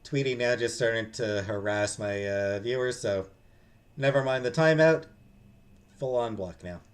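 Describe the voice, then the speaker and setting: A man's voice: medium pitch, a little slow. A man streaming a visual novel, reading the narration aloud in a dramatic storytelling style. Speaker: game streamer.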